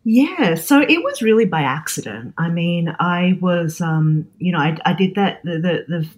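Interview guest: Australian chef, 40s, deep for a woman, reflective, hesitant. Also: animated, a little slow. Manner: thoughtful, many fillers and restarts. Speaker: guest, chef and cookbook author.

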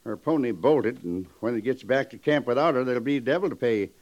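A man's voice: mid-range voice, fairly quick, a little mellow, lightly acted. Radio western scout, male actor: plain-spoken, wary, rustic grammar, dull vintage sound. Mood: grim warning. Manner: folksy, ungrammatical frontier speech. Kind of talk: old radio western acting. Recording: dull old radio recording.

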